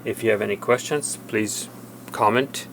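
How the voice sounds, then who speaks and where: Man, deeper voice, unscripted how-to demonstration, halting step-by-step delivery, slight background hum. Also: gravelly, fairly bright tone. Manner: halting, plain step-by-step explaining. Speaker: tech tutorial presenter. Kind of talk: hands-on product demonstration, unscripted.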